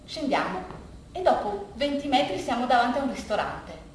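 An echo-heavy, distant recording with faint noise carries a woman's high voice. She performs a storytelling monologue for the theatre. Her delivery is conversational and halting, with phrases often repeated. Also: sing-song.

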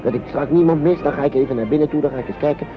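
An actor in a radio play as an anxious, kindly uncle minding refugee children, careful and hesitant. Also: male voice, on the high side, rapid-fire, close to an everyday voice. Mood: reassuring, urgent. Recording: dull old radio play, music behind.

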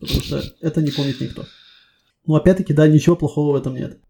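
A man's voice: on the high side, fairly even intonation, very fast, creaky, dark tone. A man working as a technical interviewer, giving casual feedback in a reassuring, encouraging way.

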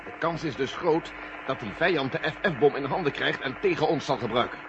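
A man's voice: medium pitch, a little fast, close to an everyday voice. A male actor playing an earnest military general in a Dutch radio drama, reasoning with urgency. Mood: urgent, persuasive. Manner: urgent, persuasive. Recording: muffled old radio recording, loud noise.

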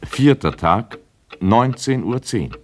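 An actor playing an impassive timekeeper in a radio play, making terse, matter-of-fact announcements over a slightly noisy recording with a ticking clock. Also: male voice, medium pitch, expressive, slow. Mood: impassive, factual. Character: impassive male timekeeper announcing hours of talking.

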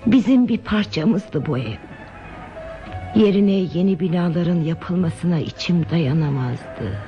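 Unhurried, wistful ageing wife in radio drama. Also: female voice, on the low side. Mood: nostalgic. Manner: slow, wistful, expressive delivery. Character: wistful ageing wife leaving her railway home of thirty years. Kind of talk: Turkish radio theatre acting. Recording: dull lo-fi radio recording with music behind.

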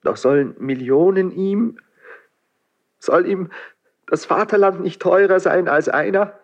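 An actor playing an anguished, impulsive young prince in a classical radio drama, fervent and declamatory, in broken phrases with a husky, breathy voice. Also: male voice, high voice, unhurried, lo-fi sound. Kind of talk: classical radio stage acting.

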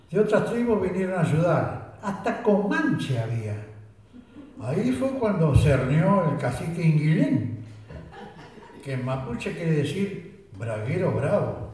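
A man's voice: on the high side, takes it slowly, recorded from a distance. A man playing a boastful gaucho sergeant. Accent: Rioplatense Spanish. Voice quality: animated, boastful storyteller. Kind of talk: amateur radio drama acting. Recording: echoey room, off-mic.

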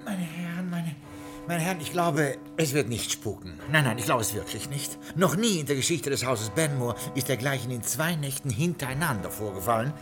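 A man's voice: medium pitch, lively intonation, fairly quick, music in the background, natural voice. An actor playing a formal, courteous butler who narrates his memoirs in a 1960s German radio drama. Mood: flustered.

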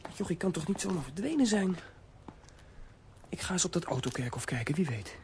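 A woman's voice: on the low side, animated, brisk, background noise, close to an everyday voice. A woman playing a plucky, determined boy hero in a children's audio drama, earnest and eager. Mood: curious, determined.

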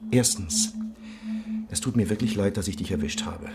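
An actor playing a hard-nosed police investigator in a radio crime drama, sardonic, commanding and cutting. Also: male voice, low-pitched, moderate pace, gravelly, heavy background noise. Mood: grave, pressing.

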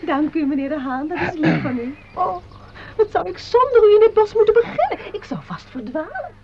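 High, coquettish fluttery lady. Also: big pitch swings, a little fast, a crowd in the background. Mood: flattering. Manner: coy, fluttery. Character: coquettish, helpless-acting young lady seeking a man's help. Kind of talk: Dutch radio play acting. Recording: muffled radio recording, some noise.

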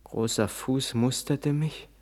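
An actor playing a proud, solemn Lakota warrior father who recounts the past in a German radio play, in measured storytelling. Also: medium pitch, steady tone, darkish tone, close to an everyday voice. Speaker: narrator and lead character.

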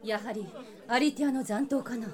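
A woman's voice: on the high side, moderate pace, crowd noise, natural voice. A woman playing a stern, proud warrior princess in a fantasy audio drama, speaking coolly and with command. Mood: pensive. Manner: cool, commanding, masculine phrasing.

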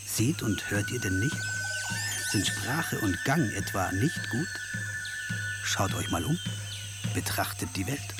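A man with a low voice reads scripted mythic narration for a radio play. His delivery is solemn and measured, like formal storytelling, with music prominent behind him. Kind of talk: scripted narration of a creation myth in a radio drama.

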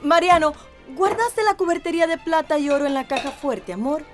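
An actress playing a fussy, suspicious and bossy wealthy wife in a radio drama. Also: female voice, high voice, animated, fairly quick, soft background music.

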